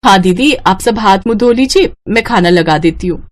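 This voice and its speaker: A woman voicing a long-suffering, overworked young daughter-in-law in an animated family story. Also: mid-range voice, moderate pace, lightly acted. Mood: dutiful. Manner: polite aloud, grumbling to herself.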